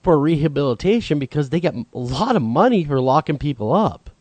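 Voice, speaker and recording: A man's voice: fairly high pitch, lively intonation, brisk, a little mellow, dry recording. A man co-hosting a talk podcast, chatty and agreeable, his voice a bit froggy from a cold. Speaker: podcast co-host. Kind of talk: casual discussion.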